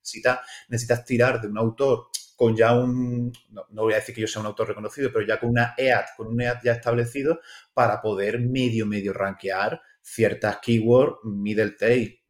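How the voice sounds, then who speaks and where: A man's voice: mid-range voice, steady tone, moderate pace, roomy sound. A man from Málaga with a mostly neutral central Spanish accent and a slight Andalusian lilt, a doctor talking casually as an interview guest, loose and chatty with many restarts. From Málaga, Spain. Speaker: guest endocrinologist.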